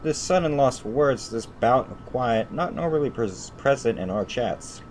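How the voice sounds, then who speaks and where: A man hosting a gaming video, reading visual novel narration aloud haltingly and repeating phrases. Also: mid-range voice, lively intonation, a little mellow, slight background noise, low-quality recording.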